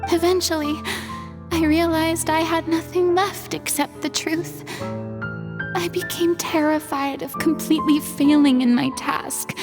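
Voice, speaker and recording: A woman voicing a melancholy, theatrical young former goddess in a video game, speaking in a wistful, measured way. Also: high-pitched. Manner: wistful, measured, dramatic delivery. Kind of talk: dramatic video game voice acting. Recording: background music behind the voice.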